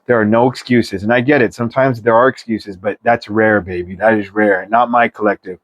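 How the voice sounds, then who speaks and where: A man's voice: fairly low pitch, fairly even intonation, a little fast, no echo, lo-fi sound. A man reads tarot for his viewers in an informal, chatty style, giving an emphatic pep talk with slang and mild swearing. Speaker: tarot reader.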